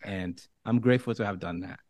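A man's voice: fairly low pitch, fairly quick, a little mellow, no room sound. A man from Liberia speaking as an interview guest in an earnest, self-assured, emphatic motivational way. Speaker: interview guest, professional dancer and author.

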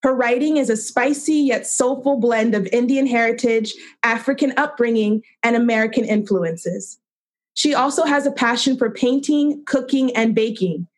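A woman's voice: fairly high pitch, normal speed. A woman hosting a storytelling event, formally reading out a speaker's introduction and list of credentials. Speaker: event host.

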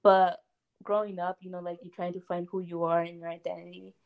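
A woman's voice: on the low side, flat delivery, fairly quick, vocal fry, dull audio. Hesitant young woman, full of "like". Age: young adult. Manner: hesitant, heavy use of "like". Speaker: student panelist. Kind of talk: casual panel discussion.

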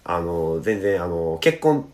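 A man with a low voice and a Kansai accent hosts a casual talk show. His pitch moves around a lot as he chats. He chimes in readily with agreement. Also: big pitch swings, slow, a little mellow. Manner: chatty, frequent backchannel agreement. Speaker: podcast host.

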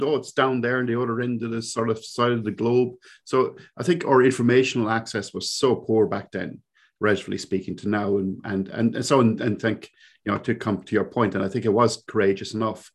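A man's voice: medium pitch, husky. A man around sixty with a Cork Irish accent, a podcast guest who talks in a reflective, rambling way full of fillers. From Ireland. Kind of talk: conversational interview.

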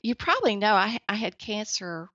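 A woman in her seventies, a writer and editor, chatting as a podcast guest with a youthful, girlish-sounding voice, gentle and quick to laugh. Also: medium pitch, sing-song, no echo. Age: 70s. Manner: soft-spoken, laughs often, gentle. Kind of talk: casual interview chat. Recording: dry, slightly dull lo-fi sound.

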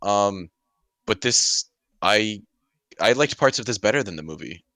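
A man with a fairly low but bright, forceful voice chats casually as a podcast panelist. His talk is slangy and blunt, and the recording is dry and close. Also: animated, measured pace, dry recording. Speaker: podcast panelist reviewing a radio drama. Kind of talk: casual chat.

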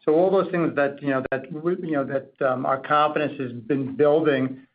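A man's voice: a little high, steady tone, normal speed. A man speaking as a company executive, explaining things in wordy corporate phrasing on an earnings call. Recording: thin, tinny phone-conference line.

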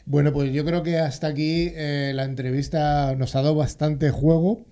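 A man's voice: fairly high pitch, steady tone, normal speed, dark tone. A man hosting a radio talk show in an upbeat, jokey way. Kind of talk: casual radio chat.

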